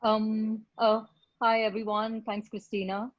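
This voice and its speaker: A woman's voice: on the high side, little pitch movement, a little slow, no echo. A woman from India speaking casually as a panelist, on dry, dull online call audio. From Bombay, India. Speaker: panelist, design director and teacher. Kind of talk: casual self-introduction on a panel.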